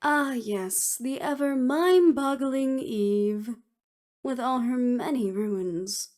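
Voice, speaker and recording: A woman's voice: fairly high pitch, slow, darkish tone, pitched above the performer's own voice. Woman playing a teasing, sardonic estranged friend. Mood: wry, musing. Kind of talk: audio roleplay acting.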